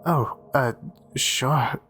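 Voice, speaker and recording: A man's voice: mid-range voice, extremely slow. A man voicing an obliging, slightly hesitant priest in an audio roleplay, with a mild manner. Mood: hesitant, reassuring. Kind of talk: ASMR roleplay voice acting. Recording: music clearly behind the voice.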